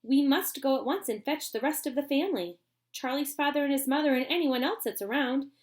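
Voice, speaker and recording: A woman voicing an eccentric, excitable old man who makes candy, in a storybook reading. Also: sits high, pitched above the performer's own voice, natural voice. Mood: bossy. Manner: brisk, eager and bossy.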